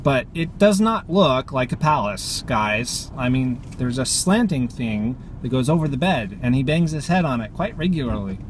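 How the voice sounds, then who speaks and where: A man's voice: medium pitch, expressive, moderate pace, hardly any pauses. A man talking casually to viewers as a vlogger while driving, rambling and hesitating as he thinks aloud. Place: inside a car while driving in rain. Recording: loud car and traffic noise. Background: road and vehicle noise.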